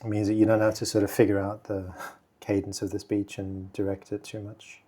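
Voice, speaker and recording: Male creator, low mellow voice, casual and halting tutorial chat. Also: completely flat delivery, husky. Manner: thinks aloud, halting with fillers and restarts. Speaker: video creator testing software. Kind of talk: casual screen-recording walkthrough.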